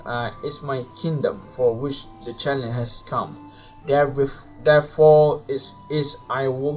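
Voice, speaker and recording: A man who is a non-native English speaker, live streaming a game and reading its dialogue aloud in a halting, stumbling way. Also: medium pitch, darkish tone. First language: not English. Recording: dull lo-fi mic with music behind.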